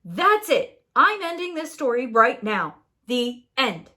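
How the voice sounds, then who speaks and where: Woman playing a bossy, high-pitched storybook narrator. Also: very animated, slow pace, bright, forceful tone, full character voice. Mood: fed up. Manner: bossy, emphatic. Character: bossy, exasperated storybook narrator losing control.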